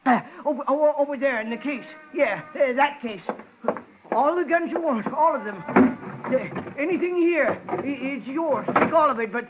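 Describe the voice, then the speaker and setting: Meek shopkeeper, very high-pitched. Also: male voice, animated, normal speed, background noise. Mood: terrified, pleading. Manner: nervous stammering, pleading. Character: frightened, meek, law-abiding male pawnshop owner. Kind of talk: 1950s radio crime drama acting. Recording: dull 1950s radio recording with music.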